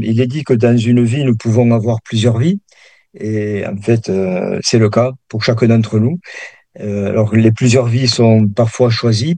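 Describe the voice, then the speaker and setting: A man's voice: lowish voice, mellow, dry recording. A man in his sixties with a southern French accent, a meditation teacher speaking as a guest in a radio interview, hesitant with many restarts. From Perpignan, southern France. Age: 60s.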